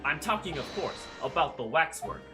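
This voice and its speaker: A woman's voice: deep voice, expressive, normal speed. A woman voicing an earnest, accusing defense lawyer in a courtroom video game, formal and insistent. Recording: music under the voice.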